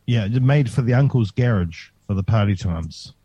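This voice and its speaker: A man's voice: fairly low pitch, expressive, normal speed, mellow, breathy edge, no room sound, highs cut off. A man interviewing a musician, casual and encouraging, often affirming with "yeah" and "you know".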